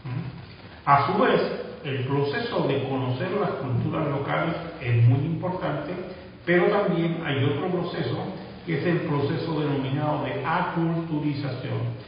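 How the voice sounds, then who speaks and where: A man from Latin America giving a measured lecture, often adding tag questions and pausing between phrases. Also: on the high side, slight background noise. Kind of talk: recorded lecture. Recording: echoey distant room recording, dull.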